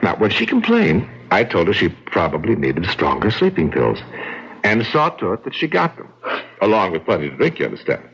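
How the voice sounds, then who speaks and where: Deep, suave, gloating radio-drama killer. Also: male voice, huge pitch swings, moderate pace, creaky, a bit bright, slightly rough, exaggerated voice. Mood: coolly taunting. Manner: smug, theatrical, taunting. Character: suave, cold, gloating killer brother-in-law. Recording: dull, band-limited old radio recording.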